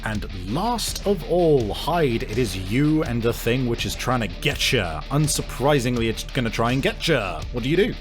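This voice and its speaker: A man's voice: mid-range voice, very animated, normal speed, hardly any pauses, over music. A man narrating a tabletop game as game master, casually improvising vivid descriptions and swearing now and then.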